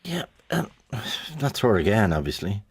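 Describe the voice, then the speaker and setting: A man presenting a radio interview, prompting his guest with gentle questions and fillers. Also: on the low side, very animated, normal speed, breathy edge. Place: radio studio.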